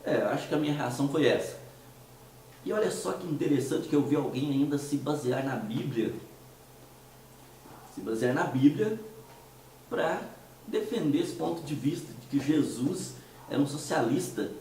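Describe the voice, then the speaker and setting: A man from Minas Gerais with a Mineiro Brazilian Portuguese accent, hoarse from a cold, hosting a conversational commentary monologue with hesitant restarts and rhetorical questions. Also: fairly high pitch, moderate pace, long pauses, mellow, very echoey, recorded from a distance, slight background noise, poor audio. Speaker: host of a commentary show.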